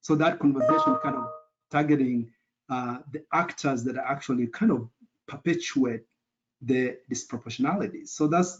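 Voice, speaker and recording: A middle-aged man with a Kenyan accent, answering interview questions in an earnest, measured way and often pausing to search for words. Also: medium pitch. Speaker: interview guest, professor. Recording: dull lo-fi audio.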